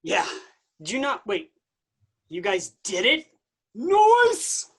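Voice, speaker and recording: An actor playing Jesus as a casual, awkward, upbeat buddy in a comic staged play reading. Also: male voice, high voice, wildly swooping pitch, slow pace, gravelly, a bit bright, slightly rough, heavily acted. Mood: casual, amused. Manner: breezy, informal.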